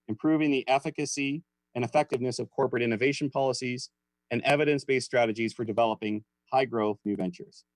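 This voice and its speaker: A man's voice: mid-range voice, dry recording, rough recording. A man hosting an academic webinar, giving a prepared, formal introduction. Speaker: webinar host introducing a speaker.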